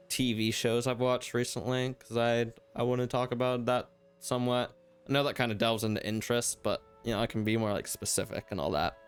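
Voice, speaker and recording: Slow, rambling young man, a bit hoarse. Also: mid-range voice. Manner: rambling, loose and reflective, many fillers. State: slightly hoarse from hours of talking. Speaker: podcast host. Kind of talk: casual solo ramble.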